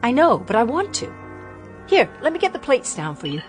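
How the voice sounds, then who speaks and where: Young woman, uneasy and probing, animated pitch. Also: normal speed, over music. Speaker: daughter of the house. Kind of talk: radio drama acting.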